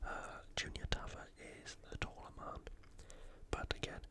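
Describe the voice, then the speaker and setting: An ASMR host speaking in a breathy, unvoiced whisper, giving an informal and slightly hesitant analytical sports preview. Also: moderate pace.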